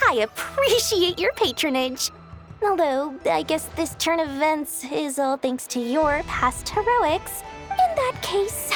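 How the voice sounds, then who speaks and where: Game role: sweet-talking female swindler, theatrical and smug, slow delivery with big swoops, loud music underneath. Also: very high-pitched, heavily acted. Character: sly young female merchant, sweetly money-hungry swindler. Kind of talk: animated game voice acting.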